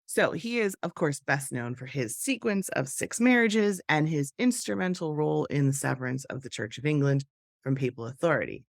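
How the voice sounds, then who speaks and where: Woman history podcaster, voice gone hoarse, chatty and excited, dry close sound. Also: low voice, no room sound. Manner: chatty and enthusiastic, talks straight to viewers. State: hoarse, lost her voice. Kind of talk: casual educational talk to camera.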